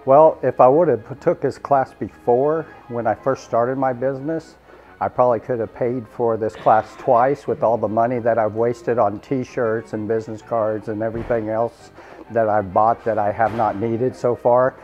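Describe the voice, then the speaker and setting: A man from Wyoming giving a testimonial as an auto detailing student, halting and hedging with fillers. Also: medium pitch, steady tone. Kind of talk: testimonial interview. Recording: slightly dull, muffled sound. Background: soft background music.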